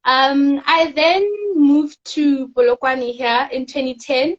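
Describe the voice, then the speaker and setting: A teenage girl from South Africa, a guest in a casual interview, telling her story softly and rambling with fillers. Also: sits high, little pitch movement, takes it slowly, lo-fi sound. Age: teens. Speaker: guest, teen pageant winner.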